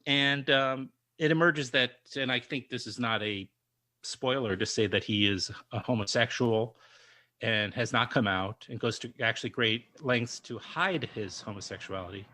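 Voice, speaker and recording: A man's voice: mid-range voice, moderate pace, bright, forceful tone. A man hosting a podcast interview over a video call, rambling and often restarting his questions. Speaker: podcast host. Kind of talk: casual interview chat.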